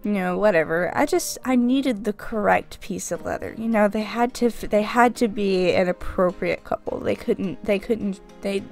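A young woman with a stuffy, sniffly nose talks into a desk microphone in a casual, rambling voice-over. She jokes at her own expense in a mid-pitched voice while faint music plays underneath. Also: moderate pace, gravelly, few pauses, darkish tone. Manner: rambling, self-deprecating, chatty. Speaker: craft vlogger.

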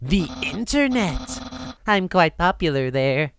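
An actor playing a naive, vain, excitable male cartoon skeleton in a video game dub, theatrical and earnest. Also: mid-range voice, takes it slowly, a little hiss or hum, heavily acted. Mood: proud, earnest. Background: game music.

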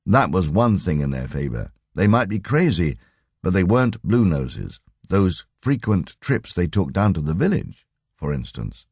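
A man reading a short story as an audiobook narrator, with dramatic, expressive delivery. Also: low voice, a little slow, husky, dry recording, clean studio sound.

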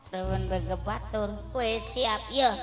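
High, slow-talking comic sidekick man. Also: steady tone. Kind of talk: live comic stage banter. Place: outdoor village party stage. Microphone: handheld microphone. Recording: live stage PA over music.

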